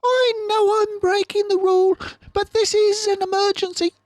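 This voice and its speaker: A man's voice: extremely high pitch, flat delivery, moderate pace, darkish tone, no room sound, pitched above the performer's own voice. A man voicing a distraught female mouse housekeeper in a storybook, wailing as she talks. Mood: upset, wailing.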